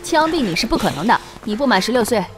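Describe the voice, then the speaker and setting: An actress playing a brisk, teasing older-sister police officer in an audio drama. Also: female voice, fairly high pitch, sing-song. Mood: firm, soothing. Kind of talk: Chinese audio drama acting.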